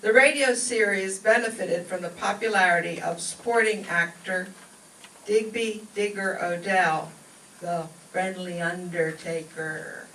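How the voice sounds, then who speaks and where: A woman's voice: medium pitch, steady tone, unhurried, fairly bright tone. A woman giving a scripted introduction as master of ceremonies at a live show. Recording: echoey room, distant mic, faint noise.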